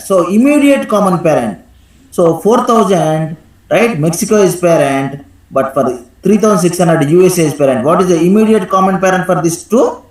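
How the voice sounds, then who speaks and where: A man teaching a live software tutorial step by step, instructing and often checking in with a questioning "right?". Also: sits high. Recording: echoey room sound.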